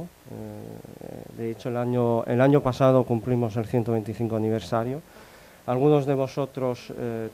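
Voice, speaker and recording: A man's voice: mid-range voice, mellow. Italian-accented man giving a conference talk. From Italy. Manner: hesitant, many fillers and restarts. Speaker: conference speaker.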